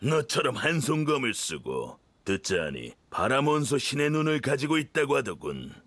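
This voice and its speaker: A man's voice: medium pitch, very animated, normal speed, a bit bright, no room sound. A man voicing a cold, menacing swordsman in a video game, speaking tersely and dismissively. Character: cold, curt, menacing swordsman searching for someone.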